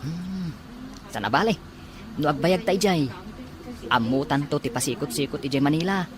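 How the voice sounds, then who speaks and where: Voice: mid-range voice, moderate pace, a crowd in the background, lightly acted. A performer playing a curious young male student new to the city, with an Ilocano accent in a radio drama. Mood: curious, easygoing. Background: music.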